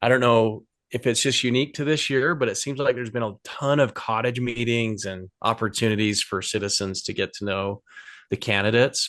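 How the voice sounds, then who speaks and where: A man with a lowish voice hosts a casual podcast interview. He asks loose, rambling questions full of fillers. The sound is dry and close. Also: a bit bright, dry recording. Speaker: podcast host.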